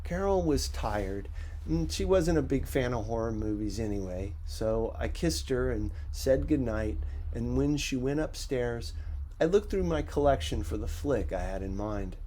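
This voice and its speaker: A man reading a first-person story as an audiobook narrator, in a measured, conversational storytelling manner with a husky voice. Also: medium pitch, lively intonation, warm tone, rough recording.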